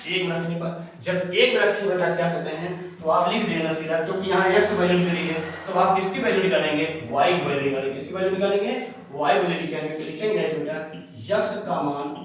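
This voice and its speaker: A man's voice: high voice, a little hiss or hum. A man teaching a math class, working each step aloud, asking the pupils a question and then answering it himself. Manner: repeats each step as a question to pupils, calls them beta. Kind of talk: math lesson at a board. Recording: echoey room, voice from far off.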